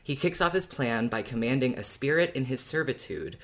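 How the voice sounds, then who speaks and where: A podcast co-host talking casually and thoughtfully, explaining ideas in a measured, informal way. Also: mid-range voice, animated. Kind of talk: casual fan discussion, explanatory.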